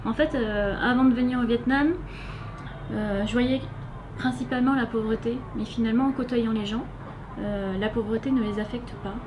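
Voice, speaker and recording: A woman's voice: fairly high pitch, steady tone, moderate pace. Hesitant young French woman, noisy recording. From France. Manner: hesitant, many filler sounds. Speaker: interviewee, volunteer. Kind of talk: casual interview answers. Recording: noisy background hiss.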